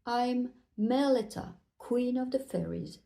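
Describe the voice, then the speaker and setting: A woman's voice: a little high, takes it slowly, gravelly, warm tone, voice pushed up. A woman voicing a regal, teasing fairy queen with a gracious but condescending manner in a storybook.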